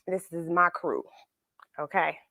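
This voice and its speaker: A woman's voice: lowish voice, takes it slowly, creaky, pushed and bright, no room sound. A woman hosting a children's read-along, chatting informally in a playful, affectionate, encouraging way and repeating phrases for emphasis.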